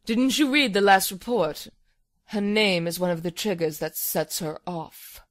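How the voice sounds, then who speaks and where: A woman playing a cool, blunt scientist in a horror audio drama, measured and dry. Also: medium pitch, close to an everyday voice. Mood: matter-of-fact. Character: cool, clinical female scientist, sardonic and blunt.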